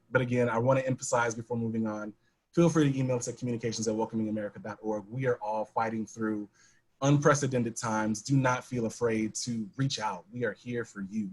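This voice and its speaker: A man's voice: mid-range voice, low-quality recording. A man presenting on a webinar, speaking in a friendly, reassuring way with a few fillers. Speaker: staff presenter. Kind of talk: casual webinar talk.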